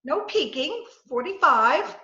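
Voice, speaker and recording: A woman's voice: sits high, slow, heavily acted. A woman acting a plucky, headstrong, scatterbrained lady reporter in a 1940s-style radio comedy, bossy and theatrical. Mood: haggling. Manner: bossy, excitable, theatrical delivery. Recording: roomy, muffled, faintly noisy video-call recording.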